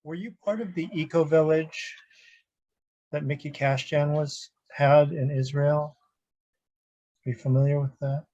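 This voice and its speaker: A man hosting an online session, asking gentle prompting questions. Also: on the high side, steady tone, measured pace, long pauses, dull audio. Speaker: host. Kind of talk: casual interview.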